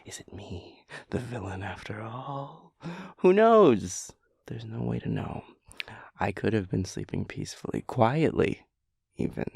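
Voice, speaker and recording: A man playing a sleepy, playful boyfriend in an intimate audio roleplay, theatrical and mock-dramatic, with breathy, hushed asides. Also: fairly low pitch, expressive, measured pace, no echo, exaggerated voice.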